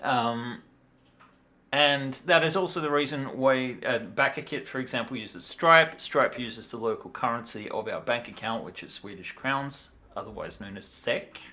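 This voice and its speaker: A man's voice: mid-range voice, normal speed, bright, forceful tone. A tired man talking casually and in a rambling way as he hosts a livestream demo. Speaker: livestream host, miniature designer.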